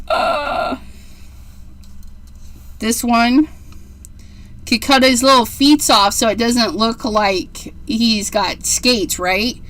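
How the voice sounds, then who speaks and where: Slow, chatty woman in her forties. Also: fairly high pitch, fairly bright tone. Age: 40s. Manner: chatty, rambling, gushing over cute things. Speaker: card-making livestream host. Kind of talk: casual livestream craft demo.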